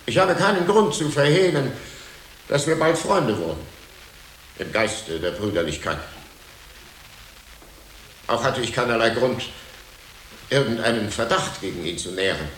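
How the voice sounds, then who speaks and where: Formal monk librarian in echoing room. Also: male voice, mid-range voice, sing-song, a bit bright. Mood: measured, careful. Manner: measured, formal, deliberate testimony. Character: formal, dutiful medieval monk librarian, guardedly humble. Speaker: monastery librarian in a radio play. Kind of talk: German radio drama acting. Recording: roomy echo, faint background noise.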